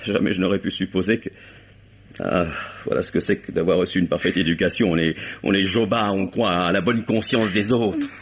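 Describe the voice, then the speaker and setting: An anguished accused actor. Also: male voice, deep voice, fairly even intonation, a little fast, husky. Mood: bitter. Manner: agitated, bitter and sarcastic, breaks off mid-sentence. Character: anguished male stage actor accused of murder. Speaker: murder suspect. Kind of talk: 1950s French radio drama acting. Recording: dull lo-fi old radio broadcast.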